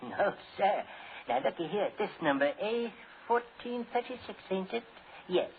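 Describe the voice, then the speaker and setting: An eerie old casket salesman in a vintage radio horror play. He is folksy, halting and insistent, with a lively, swooping delivery and polite chuckles. The sound is thin and hissy, like an old broadcast. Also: sits high, exaggerated voice. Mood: eager sales pitch. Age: older. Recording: tinny, noisy old radio broadcast recording.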